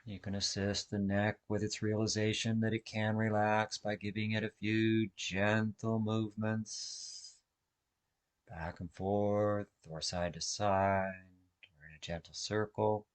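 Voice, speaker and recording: A man with a fairly low voice guides a relaxation session. He gives soft-mannered, measured instructions in short phrases. Long pauses separate each cue, as a meditation instructor would leave for listeners to follow. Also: level tone, clear, resonant tone. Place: online video call session.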